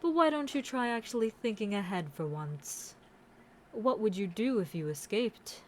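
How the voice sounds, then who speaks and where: A woman voicing a commanding, scheming demon queen in a scripted audio roleplay, haughty and taunting, with deliberate, theatrical villain delivery. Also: medium pitch, expressive, a little hiss or hum, close to an everyday voice. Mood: possessive, mocking.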